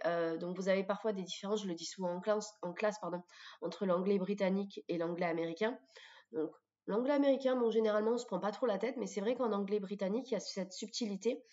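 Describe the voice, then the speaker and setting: A French-accented woman teaching vocabulary in a didactic way, repeating words deliberately for pupils. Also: medium pitch, darkish tone. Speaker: English teacher. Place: voice-over of a screen recording. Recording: dull lo-fi microphone sound.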